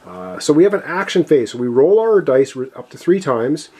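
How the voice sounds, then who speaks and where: A man's voice: fairly high pitch, sing-song, moderate pace, mellow, husky. A man giving a casual board game tutorial, talking viewers through the setup step by step in an explanatory way. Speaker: board game player and presenter. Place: indoors at a gaming table.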